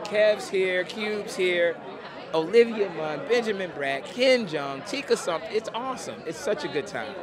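A man's voice: sits high, expressive, unhurried. A middle-aged man, a film producer, giving an enthusiastic red carpet interview outdoors into a handheld mic. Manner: upbeat, enthusiastic. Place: outdoor red carpet premiere, noisy crowd. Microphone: handheld interview mic held close. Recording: loud crowd chatter behind.

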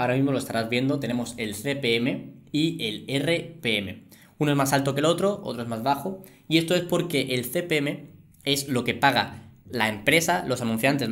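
A man's voice: mid-range voice, a bit bright. Young man with a Spanish accent, explaining. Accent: Spain. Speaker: YouTuber presenting a finance channel. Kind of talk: explainer to camera. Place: small home studio room, indoors.

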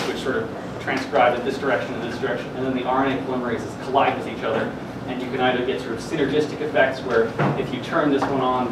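A young man giving an informal science news presentation in a hesitant, halting way full of filler words. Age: young adult. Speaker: presenter at a student science talk. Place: classroom or seminar room, indoors. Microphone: distant camera microphone. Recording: echoey room, distant mic, air-conditioning rumble.

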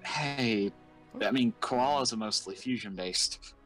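A man's voice: medium pitch, slow pace, fairly bright tone, faint music behind, poor audio. A young man talking casually and explaining his moves as a player in a card-game roleplay. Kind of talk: casual gameplay roleplay chat.

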